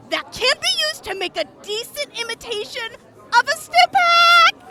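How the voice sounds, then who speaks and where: A woman's voice: very high-pitched, very noisy, full character voice. A woman playing an eager young vault medic who teases her crewmates and gushes about medicine, in a fan audio drama. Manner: eager, teasing, gushing.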